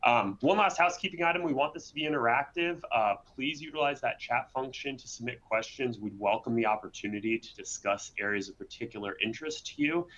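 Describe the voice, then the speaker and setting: Bright-voiced man hosting a lo-fi webinar. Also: medium pitch, very animated, a little fast, few pauses. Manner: conversational, frequent "right?" tags. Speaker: webinar host and presenter. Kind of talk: webinar presentation.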